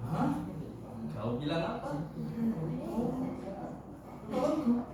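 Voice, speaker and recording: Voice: medium pitch, very animated, very slow, voice pushed up. A storyteller voicing a big, proud, menacing lion, boastful and demanding, in a storytelling contest. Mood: scornful. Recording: echoey hall, distant mic, noisy.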